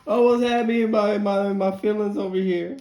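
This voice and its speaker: A woman in her forties with a deep voice giving a casual tarot reading and prayer, chatty and candid, cursing freely. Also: steady tone, a little fast, dark tone, a little hiss or hum. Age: 40s. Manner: chatty, candid, curses freely and laughs often. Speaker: tarot reader. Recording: roomy echo.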